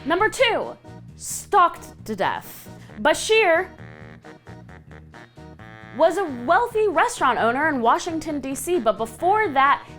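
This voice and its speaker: A woman's voice: extremely high pitch, big pitch swings, slow pace, long pauses, a bit bright, faint music behind. A woman with an American accent hosting a scripted comedic countdown of creepy stories, theatrical, sardonic and slangy. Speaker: host of a web countdown show.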